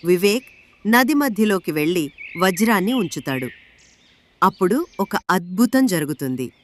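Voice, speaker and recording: A woman's voice: on the low side, big pitch swings, moderate pace. A woman narrating a scripted moral tale as its storyteller.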